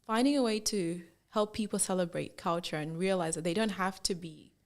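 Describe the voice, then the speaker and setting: A woman's voice: on the low side, brisk. A young woman from Zambia, a fashion brand founder, telling her story in a casual interview with lots of "like"s and acted-out quotes. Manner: animated storyteller, acts out quoted remarks, many "like"s.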